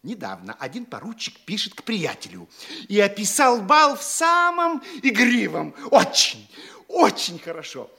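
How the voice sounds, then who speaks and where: An actor playing a nosy, gossipy town postmaster who reads people's letters, in a husky voice in a stage radio play. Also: male voice, high-pitched, wildly swooping pitch, unhurried, bright, forceful tone, exaggerated voice.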